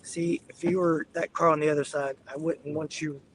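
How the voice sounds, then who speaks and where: A man with a raspy voice, easygoing and chatty, giving live storm commentary from his car while getting over the flu. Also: fairly high pitch, little pitch movement, moderate pace, a little hiss or hum, low-quality recording. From Southeast Georgia. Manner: easygoing, addresses viewers as y'all. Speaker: weather livestreamer. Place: inside a car, driving on icy roads.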